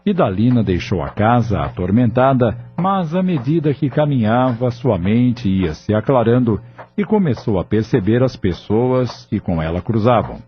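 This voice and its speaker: A man narrating an audio novel in a measured, solemn storytelling manner. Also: mid-range voice, big pitch swings. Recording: dull lo-fi audio with faint music.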